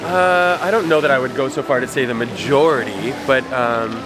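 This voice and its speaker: A man's voice: medium pitch, moderate pace, heavy background noise. An American man talking casually in a street interview, chatty and rambling with lots of fillers. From United States. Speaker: passerby interviewee. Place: outdoors on a busy street.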